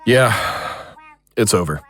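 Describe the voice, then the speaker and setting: A man playing an uneasy, low-key occult team leader in an audio drama, with an understated delivery. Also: animated, takes it slowly, fairly bright tone, full character voice. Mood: reassuring.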